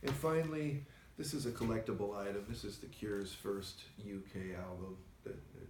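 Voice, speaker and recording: A soft-spoken man co-hosting a casual chat in a record store, talking in a low-key, rambling way. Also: fairly even intonation, normal speed, noisy background. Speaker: record store owner co-host. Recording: echoey store, mic at a distance.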